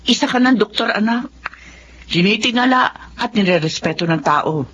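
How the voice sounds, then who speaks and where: Domineering mother, animated, muffled sound. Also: medium pitch, normal speed. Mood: proud. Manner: scolding, commanding. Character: domineering, proud, successful mother of grown children. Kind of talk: Tagalog radio drama acting. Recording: muffled, music clearly behind.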